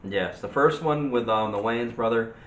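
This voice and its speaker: A man giving casual movie opinions as a co-host in a halting, rambling way. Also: mid-range voice, highs cut off. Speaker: co-host of a movie discussion show. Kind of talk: casual chat about movies. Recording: roomy echo with light background noise.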